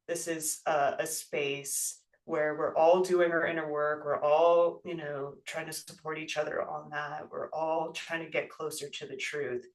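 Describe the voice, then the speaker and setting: Guest from the United States, thoughtful and halting, distant mic. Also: medium pitch. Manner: thoughtful, halting, many fillers, soft laughs. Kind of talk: reflective casual interview talk.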